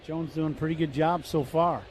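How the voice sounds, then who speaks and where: High-voiced male baseball color commentator. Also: fairly even intonation, a little fast, darkish tone, slight background noise. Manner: conversational, analytical, emphatic.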